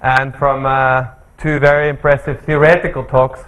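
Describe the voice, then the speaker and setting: Man, Austrian accent, middle-aged, seminar lecture, unhurried with ums and uhs, seminar room. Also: mid-range voice, level tone, a bit bright, low-quality recording. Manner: measured, frequent fillers. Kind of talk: academic seminar talk.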